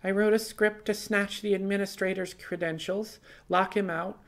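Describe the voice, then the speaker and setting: A man plays a young woman hacker on the run in an audiobook, pitched well up high. The figure is defiant and earnest, explaining in an urgent flow with wry little asides. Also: fairly even intonation, normal speed, fairly bright tone. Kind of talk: audiobook character dialogue.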